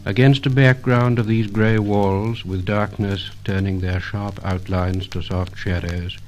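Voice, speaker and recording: A British man narrating a scripted 1950 commemorative record in a solemn, measured, literary manner. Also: fairly low pitch, fairly even intonation, rough recording.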